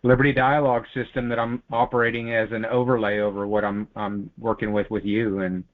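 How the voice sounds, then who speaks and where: A man's voice: on the low side, level tone, brisk. A man chatting casually on a conference call. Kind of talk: casual chat. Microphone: telephone conference call. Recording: thin phone-line conference call audio.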